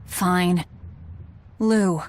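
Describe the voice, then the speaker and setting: A woman playing a cold, guarded young fighter in an anime game dub, blunt and deadpan. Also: on the low side, very slow, a little hiss or hum. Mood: deadpan teasing.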